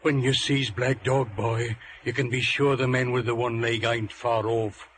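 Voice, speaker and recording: A gruff, ailing old sea captain from a radio play, acted by a man, slow and commanding. His salty sailor talk comes through a slightly noisy vintage broadcast. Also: mid-range voice, little pitch movement, lightly acted. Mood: wary, gruff. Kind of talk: 1950s radio drama acting.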